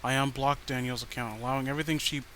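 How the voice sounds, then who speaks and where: Bright-voiced man reading a horror story. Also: mid-range voice, fairly even intonation, racing. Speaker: story narrator. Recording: faint background noise.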